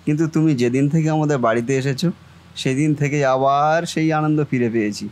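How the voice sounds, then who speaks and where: A man's voice: medium pitch, fast talker, close to an everyday voice. A man voicing a devoted, affectionate younger brother, a young shopkeeper in a family cartoon. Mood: tender, grateful. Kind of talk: Bengali family cartoon voice acting.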